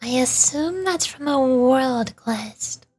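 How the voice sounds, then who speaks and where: High-voiced woman streaming a video game. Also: lively intonation, takes it slowly, a little mellow, breathy edge, soft background music. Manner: casual, thinking aloud. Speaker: streamer, VTuber. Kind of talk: video game livestream commentary, reading dialogue aloud.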